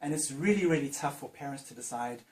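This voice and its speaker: A man's voice: medium pitch, quick pace, a little mellow. Chatty male interviewer in a roomy space. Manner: chatty, encouraging questions. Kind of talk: casual interview. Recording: roomy sound with faint noise.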